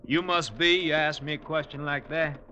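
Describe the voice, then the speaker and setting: Bright-voiced weary outlaw sidekick. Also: male voice, on the high side, fairly even intonation, normal speed, slight background noise. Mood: wary, needling. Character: wary, weary male outlaw sidekick of the Old West. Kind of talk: old-time radio western acting. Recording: muffled old radio recording.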